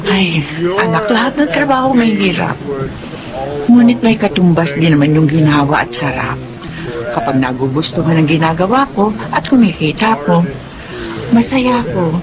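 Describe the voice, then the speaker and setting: A woman's voice: lowish voice, moderate pace, long pauses, music in the background, natural voice, rough recording. A woman with a Filipino accent playing an aging, hardworking widowed mother in a radio drama, earnest and polite. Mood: content. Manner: earnest, deferential.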